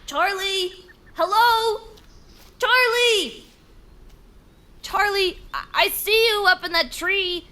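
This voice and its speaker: High-voiced, earnest alien boy. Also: takes it slowly, long pauses, pushed and bright, heavily acted. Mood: pleading. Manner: formal, literal, stilted wording. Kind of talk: children's audio drama.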